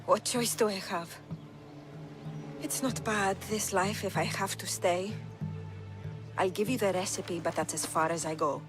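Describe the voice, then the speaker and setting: An anxious enslaved shop woman of ancient Greece, acted by a woman in a game cutscene, speaks with reluctance. Her pitch is average and music plays clearly behind her. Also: a little slow, long pauses, natural voice. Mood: resigned. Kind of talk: video game cutscene acting.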